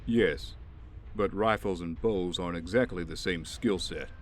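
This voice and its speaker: A man playing a gruff, good-humored stand-in vigilante archer in an audio drama, with dry, bantering delivery. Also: sits low, a little slow. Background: music.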